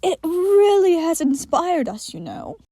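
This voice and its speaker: A woman's voice: extremely high pitch, sing-song, unhurried, dark tone, dry recording, pitched above the performer's own voice, exaggerated voice. A woman voicing a teasing, grinning inhuman woman in an audio story, playful and chiding. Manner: playful, chiding, mock-sweet.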